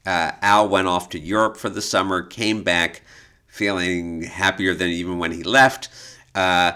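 A man's voice: low-pitched, level tone, unhurried, pushed and bright, clean tone. A man co-hosting a podcast, telling stories in a casual, conversational way. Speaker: podcast co-host and storyteller.

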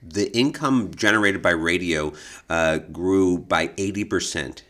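A man co-hosting an informative podcast conversation, explaining things in a measured way with hesitant pauses. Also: sits low, fairly bright tone. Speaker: podcast co-host, creative director of an arts foundation.

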